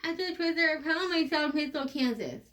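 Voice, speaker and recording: A woman's voice: sits high, fast talker, a bit bright, far from the mic, voice pushed up. A woman reading the part of an earnest, homesick young woman in a stage play. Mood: earnest, pleading.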